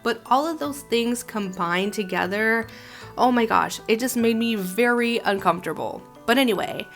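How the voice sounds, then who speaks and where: A woman's voice: a little high, normal speed, a bit bright. Woman doing storytelling commentary over music. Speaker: host reviewing an animated horror film. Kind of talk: scripted video commentary, storytelling. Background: background music.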